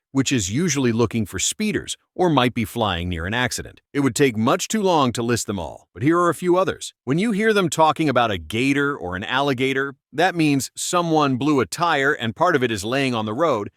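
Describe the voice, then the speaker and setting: A man's voice: medium pitch, no echo, studio quality. A middle-aged American man in a scripted narration, speaking in a no-nonsense, folksy way as a trucking channel host.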